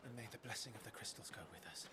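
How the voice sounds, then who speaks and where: An actor playing an earnest young noble lord who leads knights, speaking formally and solemnly in a fantasy video game. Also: male voice, medium pitch, fast talker, very noisy, close to an everyday voice. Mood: solemn, resolute. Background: loud game score.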